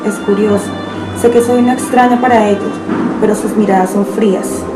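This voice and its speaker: A woman's voice: mid-range voice, fairly even intonation, brisk, lightly acted. Inquisitive, mistrustful female outsider for radio drama, played by a woman; reflective, slightly distant, echoing. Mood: wary, questioning. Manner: reflective, questioning monologue. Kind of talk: amateur radio drama narration. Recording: echoey, distant, loud music behind.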